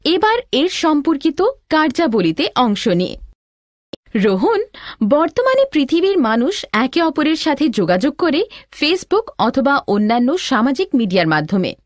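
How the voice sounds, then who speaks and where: A woman's voice: high voice, big pitch swings, measured pace, no echo, studio quality. A woman playing a helpful elder sister, giving scripted, reassuring instruction in an educational cartoon. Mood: instructive. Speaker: tutorial narrator and teacher.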